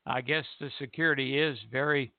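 A man's voice: mid-range voice, fairly even intonation, brisk, dry recording. An older man hosting a radio show, moving between reading aloud and casual chat. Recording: narrow tinny phone-like line.

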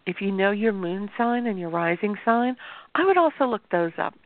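A woman astrologer hosting a show, talking to viewers in a chatty, explanatory way, with a sore throat that makes her voice gravelly. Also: medium pitch, animated, normal speed, slight background noise. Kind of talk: informal talk-show monologue. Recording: tinny phone-like dry sound.

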